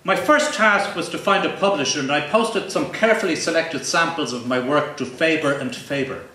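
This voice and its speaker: A man giving a live comic reading from his novel in a pompous, deadpan, mock-grandiose manner. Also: on the high side, normal speed. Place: live event venue. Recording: roomy, distant live recording with faint noise.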